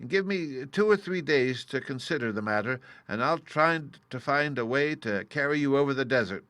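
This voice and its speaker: A man voicing an old humbug wizard who gently confesses he is no real magician, speaking with a confiding, sheepish manner. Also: medium pitch, expressive, normal speed, no room sound, natural voice. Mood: confessing. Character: humble old male humbug wizard, apologetic.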